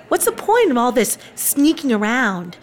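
High-pitched, animated teenage girl played by an actress. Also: slow pace, heavily acted. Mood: skeptical, concerned. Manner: expressive, emphatic, questioning. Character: teenage high school girl, protective, blunt, teasing best friend. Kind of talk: radio drama acting.

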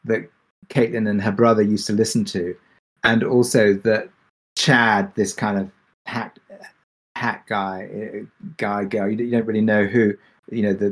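A man's voice: fairly low pitch, level tone, unhurried, breathy edge. Man talking through behind-the-scenes details, hesitantly. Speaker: audio drama creator on a Q&A panel. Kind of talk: casual panel discussion.

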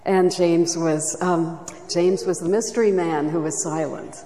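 A woman giving a reflective, soft-spoken teaching talk at a church retreat. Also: lowish voice, steady tone, a little slow. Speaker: retreat speaker. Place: church hall. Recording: echoey hall recording.

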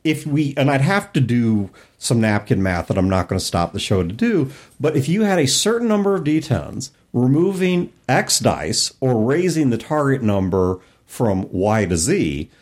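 A man's voice: medium pitch, sing-song, normal speed, few pauses, a little mellow. A man hosting a casual panel discussion, talking in an analytical way and explaining with acted-out examples. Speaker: podcast host.

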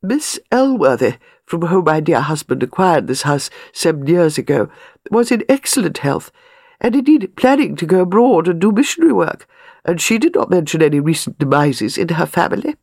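A woman's voice: fairly low pitch, big pitch swings, normal speed, a little mellow, no room sound, clean studio sound. A woman voicing a stiff, affronted widow with a haughty, formal manner in an audiobook. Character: stiff, affronted, glacial widow selling her house.

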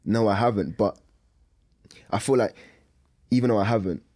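A young man with a low-pitched voice talks casually as a music artist in an interview. His first language is Lingala, and he speaks informally, often tagging phrases with a check that he is understood. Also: moderate pace, darkish tone, husky. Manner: informal, repeats tag questions like "you know what I mean". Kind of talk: casual chat.

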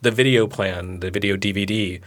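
A man's voice: lowish voice, moderate pace. Sleepy-voiced man chatting on a podcast. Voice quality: sleepy-sounding voice. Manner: relaxed, rambling, self-deprecating. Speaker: podcast co-host. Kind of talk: casual chat.

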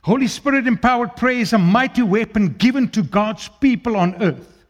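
A man from South Africa with an Afrikaans accent preaching a sermon, swinging between loud declaiming and quiet, low asides. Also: moderate pace, lo-fi sound.

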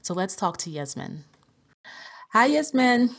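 A woman's voice: medium pitch, big pitch swings, unhurried, gravelly, a bit bright, breathy edge. A woman hosting a podcast in a friendly interview style. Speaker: podcast host.

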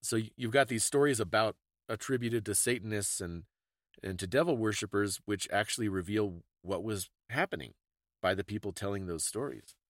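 A man's voice: on the low side, normal speed, dry recording. Reflective man, dry close sound. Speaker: podcast co-host. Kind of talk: conversational podcast discussion.

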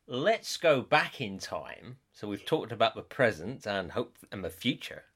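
A man with a West Country accent hosting a podcast interview, friendly and quick to laugh. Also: on the low side, big pitch swings, fairly bright tone, breathy edge. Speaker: podcast host. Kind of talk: casual interview chat.

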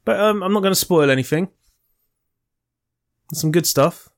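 Swearing, jokey podcast host. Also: male voice, high-pitched, moderate pace. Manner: chatty, jokey, swears freely and teases his co-host. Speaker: podcast co-host reviewing films. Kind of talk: casual chat.

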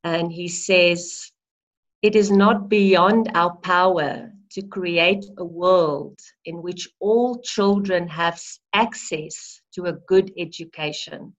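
Slow, earnest South African woman. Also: lowish voice. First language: Afrikaans. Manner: earnest, often restarts words, warm enthusiasm. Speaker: interviewee, early childhood movement practitioner. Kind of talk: heartfelt conversational interview. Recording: slightly dull lo-fi audio.

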